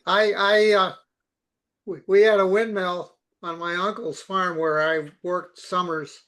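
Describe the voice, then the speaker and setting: A man telling a story in a casual group chat on a video call, starting haltingly and repeating words. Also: high-pitched, flat delivery, a bit bright. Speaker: participant in a technical session.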